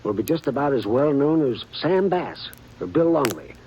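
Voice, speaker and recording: An actor in a 1950s radio western playing a chatty, gossipy old printer with a folksy drawl. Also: male voice, medium pitch, very animated, normal speed, darkish tone, dull audio, a little hiss or hum. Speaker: small-town newspaper printer. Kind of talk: 1950s radio drama acting.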